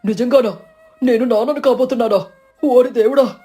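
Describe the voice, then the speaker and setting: A woman's voice: a little high, moderate pace, warm tone, soft background music, lightly acted. A woman playing an impulsive young husband bird in a cartoon story, with a blunt manner and a hot temper. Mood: overjoyed.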